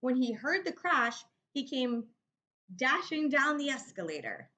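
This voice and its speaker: A woman narrating a children's storybook expressively, leaning deliberately on key words. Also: high voice, big pitch swings, sharp tone, lo-fi sound. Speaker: volunteer story reader.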